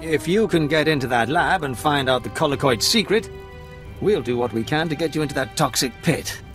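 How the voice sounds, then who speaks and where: High-pitched, animated army major. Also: male voice, fairly quick, soft background music. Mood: decisive. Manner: conversational, musing, eager to help. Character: harried, affable male army major, a father. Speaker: military officer. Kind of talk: video game voice acting.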